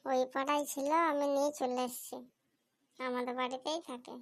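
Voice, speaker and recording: A woman's voice: high-pitched, level tone, moderate pace, lightly acted. A woman voicing a naive, curious young son in a comic cartoon, with a childlike questioning manner. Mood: wary, chatty. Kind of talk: amateur comic cartoon dubbing.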